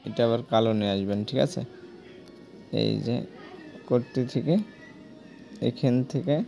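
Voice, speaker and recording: Man, gravelly, dark, repetitive tutorial teacher, checks viewers follow. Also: mid-range voice, unhurried, faint music behind. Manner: repetitive, coaxing, addresses viewers directly. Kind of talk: screen-recorded drawing lesson.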